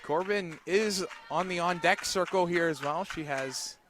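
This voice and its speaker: A man doing live radio play-by-play of a softball game, calling the action in short, clipped phrases. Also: high-pitched, unhurried, noisy background. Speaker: play-by-play announcer.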